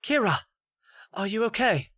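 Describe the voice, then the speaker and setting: A woman voicing a caring, teasing young male companion with an expressive, confident manner in an audiobook. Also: fairly high pitch, unhurried. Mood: concerned. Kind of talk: audiobook character voice.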